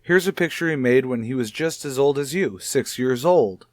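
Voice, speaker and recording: Actor as male child psychologist, medium pitch, measured, soothing, gently questioning, tactful, radio soap drama, dry recording. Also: animated, no room sound, natural voice. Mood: kindly probing. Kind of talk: old radio soap opera acting.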